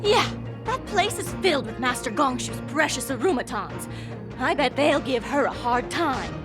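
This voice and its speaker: A woman's voice: high-pitched, expressive, moderate pace. An actress voicing a suspicious young townswoman who asks pointed questions in a game cutscene. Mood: wary. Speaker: game character. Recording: game audio over loud music.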